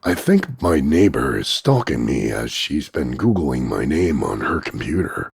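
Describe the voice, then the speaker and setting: A man voicing a cocky, crude macho action hero, gravelly and husky, delivering smug deadpan one-liners in a slow drawl of punchlines. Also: extremely low pitch, no echo, clean studio sound, full character voice. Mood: deadpan, mocking. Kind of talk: voice-over demo of insults and one-liners.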